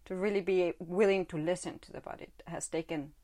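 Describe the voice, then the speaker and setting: A woman from Guatemala, a mother of a teenager, speaking as a podcast guest in a reflective, halting way with many "you know"s. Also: on the low side, fairly even intonation, a little mellow. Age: adult with a teenage son. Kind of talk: conversational interview.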